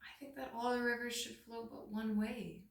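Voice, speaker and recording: A woman's voice: a little high, level tone, normal speed, creaky, pitched above the performer's own voice. A woman voicing a wise male raven who reasons out his opinion emphatically in a storybook reading, in an echoey room. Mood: firm, reasoning. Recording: roomy echo, distant microphone.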